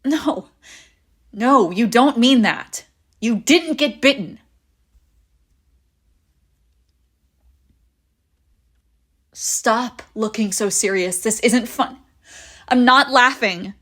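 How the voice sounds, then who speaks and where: A woman's voice: on the high side, slow pace. A woman playing a clingy, devoted young female friend in a zombie apocalypse audio roleplay, with an emotional, intimate delivery. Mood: anxious denial. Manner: emotional, pleading, swinging between insistent and hushed. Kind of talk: scripted audio roleplay acting, intimate and conversational.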